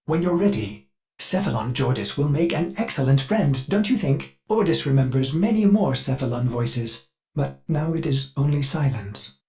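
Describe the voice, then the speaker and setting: An actor voicing a devoted ship computer AI in a video game, fussy, polite and eager with violent outbursts, through glitchy synthetic processing. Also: male voice, medium pitch, lively intonation, moderate pace, darkish tone, soft background music. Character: fussy, devoted ship computer AI, male, with flashes of bloodthirsty rage. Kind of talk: scripted video game character lines.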